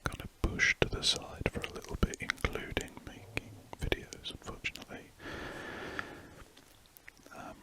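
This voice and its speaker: A speaker, chatting in a soft, rambling ASMR whisper while drawing. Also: unhurried. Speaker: ASMR drawing channel host.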